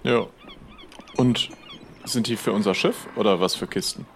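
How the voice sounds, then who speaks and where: A man's voice: fairly low pitch, very animated, moderate pace, a little hiss or hum. A man improvising casual pirate role-play dialogue as a player, chatty and somewhat hesitant.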